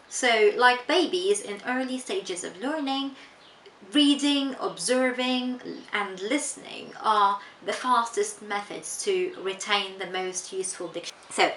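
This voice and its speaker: A young woman from Tunisia, not a native English speaker, working as an English teacher and answering interview questions in a measured, explanatory way with frequent ums. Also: mid-range voice, pushed and bright. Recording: echoey room with faint noise.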